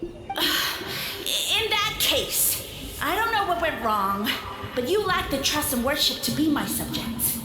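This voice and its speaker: A woman's voice: high-pitched, echoey room, full character voice. A woman voicing a pompous, self-proclaimed sage lord of fungi who talks down to everyone with grand, condescending speech.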